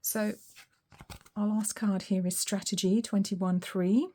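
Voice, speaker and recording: A woman reading tarot cards in a relaxed solo session, her voice a little weak and hoarse, musing aloud with frequent ums. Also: medium pitch, slow. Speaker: tarot reader.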